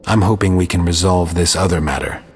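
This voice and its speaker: An actor playing a calm, reasonable monster hunter in a video game, with terse, restrained delivery. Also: male voice, deep voice, brisk, breathy edge. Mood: measured, stoic.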